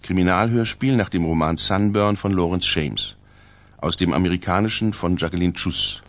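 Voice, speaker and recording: A man's voice: deep voice, normal speed, dry recording. A man reading scripted radio drama credits as an announcer.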